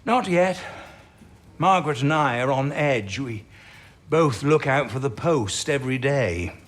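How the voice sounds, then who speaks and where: An actor playing an eccentric, ailing older British codebreaker in an audio drama, halting and exclamatory, with a fondness for whimsy. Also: male voice, mid-range voice, lively intonation, a bit bright. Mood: reflective.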